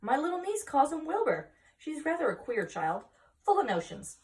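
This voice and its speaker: A woman's voice: sits high, big pitch swings, normal speed, darkish tone, recorded from a distance, voice pushed up. A woman voicing an awestruck, self-important farmer husband who speaks with solemn, emphatic wonder in a storybook reading. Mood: excited.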